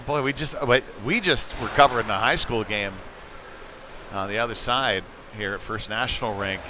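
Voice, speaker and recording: An American man giving casual, conversational live hockey play-by-play as a commentator, getting excitable when play heats up. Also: on the low side. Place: indoor ice rink. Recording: noisy indoor ice rink.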